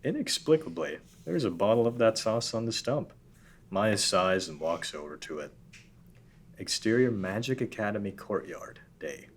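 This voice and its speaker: A man reading out scene directions in an even, matter-of-fact way at a table read. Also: fairly low pitch, moderate pace, slight background noise.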